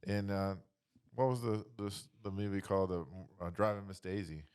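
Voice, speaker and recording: A man with a low voice chats in a relaxed, informal way. He is hesitant, often searching for words as he leads the discussion. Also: a little fast, clear, resonant tone. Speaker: podcast panelist leading the questions. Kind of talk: casual chat.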